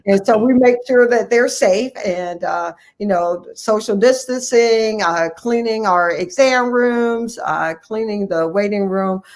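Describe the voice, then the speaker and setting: A woman's voice: mid-range voice, measured pace, few pauses, a little mellow. Earnest American woman giving interview answers. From United States, Ohio. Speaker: physician guest and medical association leader.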